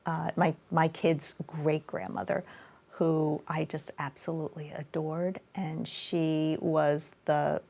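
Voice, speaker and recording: A middle-aged woman chatting as a guest in a local studio interview. Her voice sits low and has a gravelly, creaky texture. She rambles warmly with plenty of fillers and restarts. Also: level tone, slow pace. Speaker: author and guest. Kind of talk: casual interview chat.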